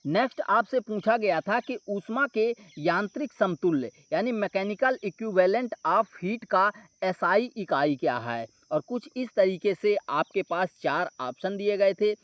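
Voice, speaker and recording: A man's voice: sits high, animated, measured pace, hardly any pauses, fairly bright tone, no room sound. A man explaining exam questions and answers as a coaching teacher, restating points and checking in with listeners. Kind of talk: explanatory exam solution walkthrough.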